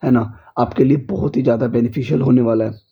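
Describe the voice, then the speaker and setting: Hoarse man teaching chemistry. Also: medium pitch, steady tone, quick pace, dull audio. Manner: repeats checks like "clear?" and "okay?". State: hoarse from a cold. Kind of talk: online exam-prep lecture. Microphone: speaker's mic is faulty.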